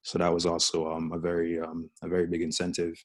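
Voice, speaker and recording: A young man from Jamaica, an artist and teacher, introducing himself on a video call panel, reflective and halting. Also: low-pitched, completely flat delivery, no room sound.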